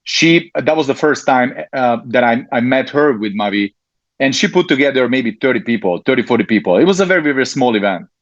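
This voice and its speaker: A man's voice: medium pitch, expressive, brisk, no echo. Hungarian man, hesitant, dull lo-fi audio. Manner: hesitant, frequent ums and restarts. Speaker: network marketing leader, guest. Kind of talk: interview answers.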